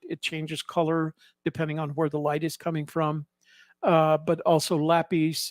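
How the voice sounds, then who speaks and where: A man giving casual, explanatory commentary as a vlog host, in a halting way, often repeating and rephrasing himself. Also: fairly high pitch, no room sound, clean studio sound. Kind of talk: casual narrated photo commentary.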